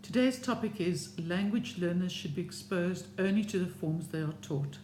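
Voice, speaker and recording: Middle-aged, Serbian home language, measured encouraging vlog talk, roomy echo, audible hum. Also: mid-range voice. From South Africa. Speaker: language learning vlogger. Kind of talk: opinion talk to camera. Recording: echoey room with steady hum.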